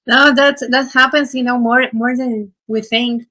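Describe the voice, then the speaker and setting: A woman's voice: fairly high pitch, steady tone, unhurried, pushed and bright, no room sound. A woman from Bulgaria chatting casually and frankly on a group call, swearing now and then. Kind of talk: casual chat.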